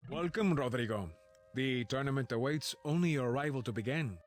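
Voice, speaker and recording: Game king: imperious male, grand, lively swooping delivery actor in role, faint music. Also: mid-range voice, fairly quick, natural voice. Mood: imperious, ceremonial. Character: commanding, theatrical medieval Spanish king.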